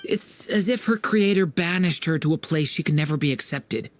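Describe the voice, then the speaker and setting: A man playing a calm, stoic and gentle protector in a video game, speaking in earnest, measured, formal phrases. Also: fairly high pitch, natural voice. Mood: somber. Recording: faint music behind the voice.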